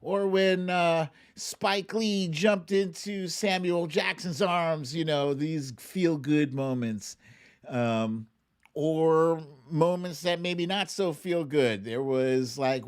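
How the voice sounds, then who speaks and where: A man hosting a livestream with casual commentary, speaking in a halting, conversational way with pauses and emphatic stresses. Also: fairly high pitch, clean tone. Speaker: host of a livestream show.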